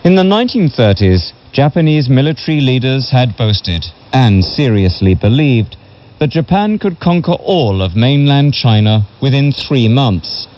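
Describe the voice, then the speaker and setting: A man reading a history book as a narrator in a measured, solemn way, heard over a shortwave radio broadcast. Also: mid-range voice, big pitch swings, dull audio. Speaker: audiobook narrator. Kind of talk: scripted literary history narration.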